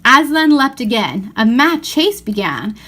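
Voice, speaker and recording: A woman with a slightly high voice narrates a children's novel. She reads expressively, as a storybook reader. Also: very animated, measured pace, a bit bright.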